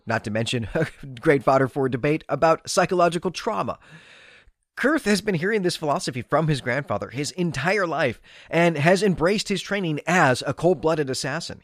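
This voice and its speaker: Male book podcaster, mid-pitched and very animated, emphatic conversational delivery, dry recording. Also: no room sound. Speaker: podcast host. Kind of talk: literary review commentary, semi-scripted.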